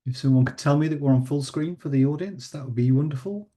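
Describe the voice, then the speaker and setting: Croaky-voiced man giving a science lecture. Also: on the high side, quick pace, dark tone. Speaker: invited research speaker.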